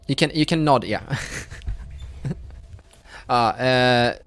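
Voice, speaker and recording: A man running a tabletop roleplaying game as game master, improvising his narration in a halting way. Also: mid-range voice, a bit bright.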